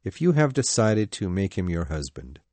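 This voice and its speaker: A man voicing a grave but affectionate older father with dry wit in a storybook reading, measured and deliberate. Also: sits low, very animated, darkish tone, no room sound. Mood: tender, resigned.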